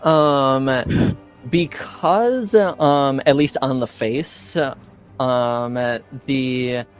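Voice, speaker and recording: A man improvising as game master in a tabletop roleplaying game, hesitant and halting as he searches for words. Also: mid-range voice, faint music behind.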